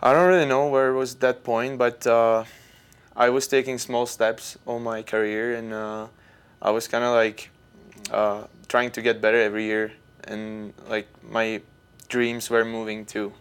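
A young man with a Czech accent, a native Czech speaker, chatting as a podcast guest and talking haltingly with many fillers. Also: medium pitch, little pitch movement, clean tone. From Czech Republic. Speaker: guest hockey player. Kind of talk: casual podcast chat.

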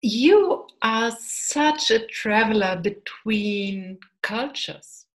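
A woman's voice: fairly high pitch, animated, very slow. A woman from Germany interviewing a guest, searching for her words and hesitant. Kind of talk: conversational interview.

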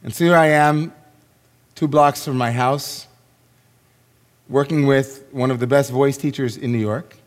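A rabbi preaches in a measured, unhurried way, leaving long pauses between phrases. His voice sits at an ordinary male pitch but sounds worn and hoarse. The sound carries a long reverb, as if it fills a large hall. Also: fairly even intonation. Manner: slow, reflective, with long pauses. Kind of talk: sermon.

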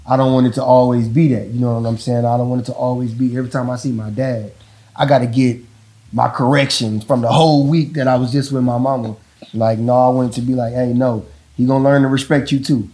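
Deep-voiced relaxed man. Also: quick pace. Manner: relaxed, rambling, repeats "you know what I'm saying". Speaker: father in a couple interview. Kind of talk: casual talk about parenting.

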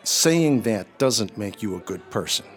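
Bitter, snappish drinker for radio drama: actor with mid-pitched, very animated delivery, faint music underneath. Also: male voice, unhurried, husky. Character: bitter, impatient, hard-drinking rich husband, snappish.

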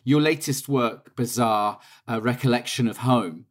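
A man hosting a podcast, reading scripted narration in a measured way, then interviewing a guest. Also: medium pitch, dry recording, studio quality. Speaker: podcast host.

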